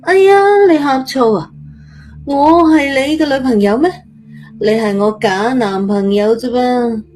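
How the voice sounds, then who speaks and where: A woman's voice: on the high side, unhurried, darkish tone, soft background music, lightly acted. A woman voicing a brash, chatty, blunt teenage girl with a morbid streak, speaking colloquial Cantonese in an audio story. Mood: teasing. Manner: cheeky, blunt, colloquial, muttering to herself.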